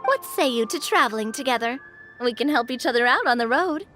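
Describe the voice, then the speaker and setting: A woman's voice: fairly high pitch, animated, a little fast, fairly bright tone, faint music behind. A woman playing a confident, friendly young monster hunter in an anime game, upbeat and encouraging. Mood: confident, inviting.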